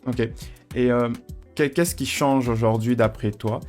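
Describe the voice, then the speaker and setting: Man hosting a podcast, halting. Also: medium pitch, faint music behind. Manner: relaxed, hesitant with repeated words. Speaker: podcast host. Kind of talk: conversational podcast interview.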